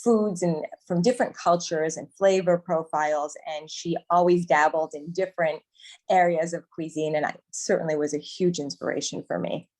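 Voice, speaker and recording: A woman from Canada speaks as an interview guest in a low-pitched voice. She answers conversationally, as someone who teaches and writes for a living. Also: fairly even intonation, normal speed, darkish tone, rough recording. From Montreal, Canada. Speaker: cooking teacher and cookbook author.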